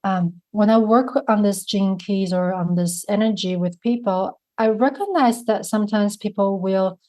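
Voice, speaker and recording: A woman with a Mandarin accent, a native Mandarin speaker, talking slowly and thoughtfully as a guest in an interview. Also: mid-range voice, dark tone. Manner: slow, thoughtful, searching for words. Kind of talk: reflective interview conversation.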